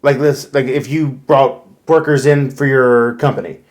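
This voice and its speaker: An American man co-hosting a casual chat podcast, explaining things in a halting, conversational way. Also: medium pitch, steady tone. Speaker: podcast co-host.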